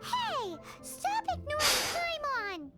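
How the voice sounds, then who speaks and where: A tiny, childlike fairy companion from a video game, very high and squeaky. She is chirpy, blunt and cheeky, swinging freely in pitch, with prominent music behind her. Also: huge pitch swings, slow, exaggerated voice. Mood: snarky, indignant. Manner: chirpy, blunt, refers to self in third person.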